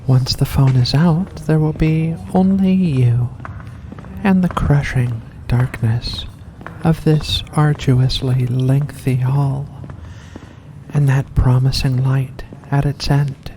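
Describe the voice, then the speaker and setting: A performer voicing a mysterious, seductive vampire in a slow, deliberate ASMR roleplay, courteous and teasing. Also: mid-range voice, steady tone, warm tone. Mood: eerie, hypnotic. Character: seductive mysterious vampire host luring in a guest. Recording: faint background noise.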